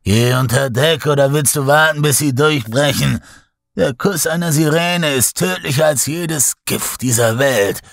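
Man doing an old sailor, gravelly, flat and emotionless, dry close mic. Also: a little high, a little slow, no echo, voice pushed up. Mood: cold warning. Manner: flat, unmoved warning.

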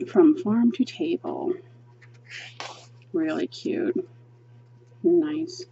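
A chatty woman pages through a coloring book at her table and gushes over each picture, thinking aloud with frequent ums. Her voice sits a little low and mellow. The home recording is dull and lo-fi, with a faint hiss behind her. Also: wildly swooping pitch, extremely slow, vocal fry. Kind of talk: casual book flip-through review. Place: indoors at a table, hands seen flipping pages.

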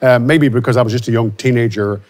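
A man's voice: medium pitch, steady tone, quick pace, a little mellow. A man in his forties from Louisiana, a country singer being interviewed, speaking reflectively with frequent restarts, recorded on a studio set with a lapel mic. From Louisiana, USA. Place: studio with starry backdrop.

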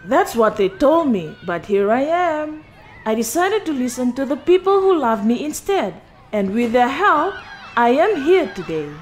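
An actress playing a confident, friendly football star, encouraging and instructive, in an animated comic. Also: female voice, high voice, measured pace, a little hiss or hum. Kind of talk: animated motion comic acting.

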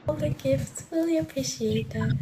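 A young woman from California hosting a live stream from a phone in a small room, greeting viewers by name and thanking them with frequent giggles. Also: high voice. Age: young adult. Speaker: livestream host. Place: small indoor room, in front of a curtain. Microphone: phone camera at close range.

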